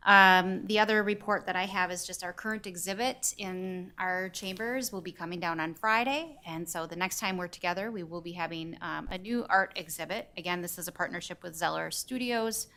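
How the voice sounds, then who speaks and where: A woman on city staff giving a measured report to the council, with frequent ums. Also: lowish voice, sharp tone. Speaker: city administrator. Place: council chamber.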